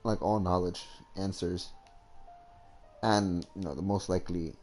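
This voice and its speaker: A man's voice: sits low, fairly even intonation, a little slow, darkish tone. A man giving casual commentary on a game he is playing, rambling as he thinks aloud. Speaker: gamer reacting to his playthrough. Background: game music.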